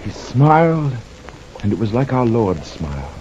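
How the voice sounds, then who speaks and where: A man's voice: medium pitch, sing-song, darkish tone. An actor playing a haunted, penitent mariner who tells his tale in grave, poetic radio-drama verse. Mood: solemn awe. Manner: slow, solemn, impassioned, poetic delivery. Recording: dull lo-fi radio recording, clear noise.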